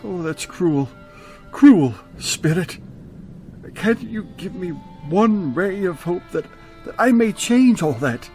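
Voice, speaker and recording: A man's voice: high-pitched, expressive, a little mellow, soft background music, exaggerated voice. A man playing a frightened, repentant old miser in a radio drama, stammering and pleading as he draws out his words. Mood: anguished. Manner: stammering, pleading, drawn-out delivery. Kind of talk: theatrical radio drama acting.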